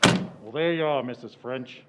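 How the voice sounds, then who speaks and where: An actor playing a matter-of-fact male police officer, explaining quietly in a 1940s radio drama recreation. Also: measured pace, long reverb tail. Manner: low-key explaining.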